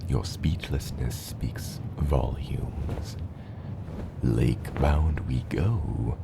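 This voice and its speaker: A man acts out a gentle dragon father in an ASMR roleplay, extremely deep and unhurried. He is tender and proud, often slipping into breathy whispers as he coaxes his little hatchling. Noticeable ambient noise sits behind the voice. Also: full character voice. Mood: playful, caring. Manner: slow, tender, encouraging, softly playful.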